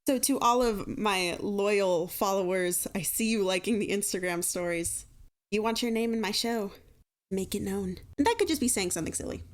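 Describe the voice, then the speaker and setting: A woman hosting a podcast, talking casually and playfully to listeners in an informal way. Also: mid-range voice. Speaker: podcast host and show creator.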